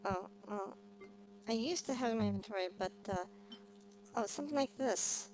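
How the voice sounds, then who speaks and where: A woman's voice: medium pitch, normal speed, darkish tone, poor audio. A woman streamer giving casual live gameplay commentary and chatting with viewers as she plays. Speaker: game streamer, VTuber. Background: game music.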